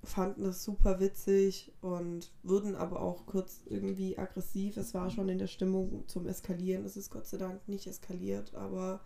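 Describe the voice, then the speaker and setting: A woman in her late twenties hosts her own podcast in a soft, rambling monologue. She sounds tired and often corrects herself mid-thought. Her voice has a gravelly, fry-touched edge at a middling pitch. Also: completely flat delivery, moderate pace, hardly any pauses. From Colombia. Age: 20s. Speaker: podcast host. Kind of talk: casual personal monologue.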